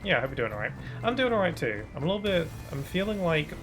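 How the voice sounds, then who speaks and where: A man streaming a game, chatting casually and thinking aloud, a bit sniffly from hay fever, with bursts of excitement. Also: on the high side, quick pace. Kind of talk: casual gaming commentary.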